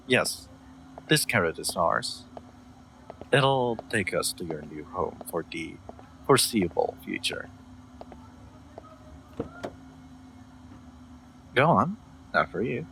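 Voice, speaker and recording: A man playing a gentle, awkward lord in an audio roleplay, speaking politely and formally with frequent hesitations. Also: deep voice, very animated, takes it slowly, gravelly, pauses often, slight background noise, heavily acted, low-quality recording. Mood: kindly insistent. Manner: hesitant, polite, formal wording with stammered ums. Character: gentle, awkward young lord, courteous automaton owner. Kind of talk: scripted audio roleplay, intimate one-sided dialogue.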